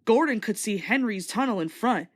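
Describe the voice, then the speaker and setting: A woman reading a children's story aloud as an expressive, scripted read-along narrator. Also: fairly high pitch, normal speed.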